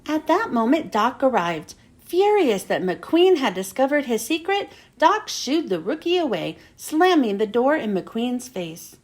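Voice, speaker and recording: A woman reads a children's story aloud. Her voice is high and swings up and down a lot, with dramatic emphasis on the storytelling. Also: very animated, a little slow. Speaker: children's book reader.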